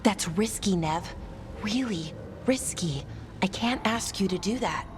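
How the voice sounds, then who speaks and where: An actress playing a young female elven mage in a video game, earnest, nervous and a little halting. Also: mid-range voice, animated, background noise, close to an everyday voice. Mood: anxious concern. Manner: hesitant, halting. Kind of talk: video game drama acting.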